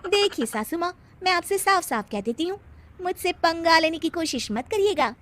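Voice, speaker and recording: A woman acts a bold young bride in a cartoon story, answering back with pert cheek. Her voice is high and very lively. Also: normal speed, background noise. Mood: defiant. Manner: pert, animated.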